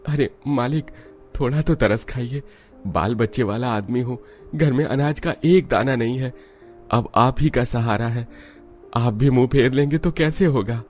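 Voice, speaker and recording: A man's voice: medium pitch, unhurried, darkish tone, soft background music, close to an everyday voice. A man playing a poor, humble village farmer and father in a cartoon, speaking deferentially. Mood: pleading. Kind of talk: Hindi cartoon dialogue.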